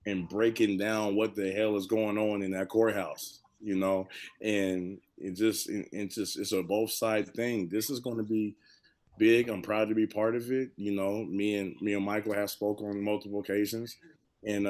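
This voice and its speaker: Low-pitched man talking casually and sincerely. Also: darkish tone. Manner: halting, repeats phrases for emphasis. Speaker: monologue storyteller on a panel. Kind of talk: casual heartfelt remarks.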